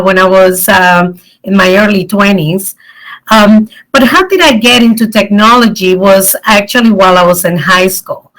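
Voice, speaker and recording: Middle-aged woman from the Dominican Republic, guest speaker at an online event, earnest and warm, pausing with ums, mid-range voice. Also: medium pitch, poor audio.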